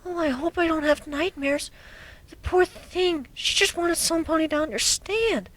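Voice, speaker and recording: A woman acts out a gentle, anxious young pegasus mare with a high, soft voice. She speaks hesitantly and can sink toward a whisper. It is a first-person dramatic reading of a cartoon fan story. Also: measured pace, noisy background. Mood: worried, reflective. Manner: hesitant, soft-spoken, breaking into near whispers.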